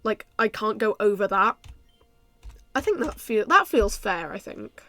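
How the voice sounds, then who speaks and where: A young woman with a stuffy nose from a cold, casually commenting on her game and thinking aloud with many ums and soft laughs. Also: medium pitch, big pitch swings, a little slow, no echo, faint music behind. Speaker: gaming YouTuber.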